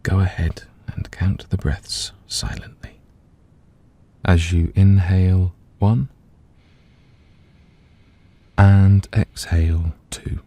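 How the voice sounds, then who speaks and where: A man gently and calmly leading a scripted guided meditation, letting his voice soften at the ends of phrases. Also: low-pitched, takes it slowly, pauses often. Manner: gentle, calm, soothing, hushed at phrase ends.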